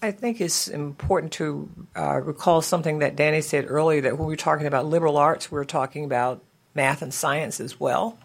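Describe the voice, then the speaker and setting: Higher-pitched, gravelly-voiced man; explanatory chancellor. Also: brisk. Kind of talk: formal meeting remarks.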